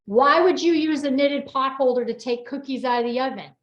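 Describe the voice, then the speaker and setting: Middle aged american lady, highish voice, rambly casual chat, roomy slightly muffled audio. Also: a little fast. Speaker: host and book co-author. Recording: echoey room, slightly dull audio.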